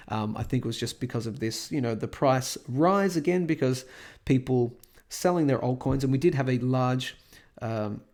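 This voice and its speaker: Croaky man with a cold. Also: mid-range voice, a little mellow. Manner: rambling, lots of fillers and restarts. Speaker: host of a crypto price analysis channel. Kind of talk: casual screen-recorded market commentary.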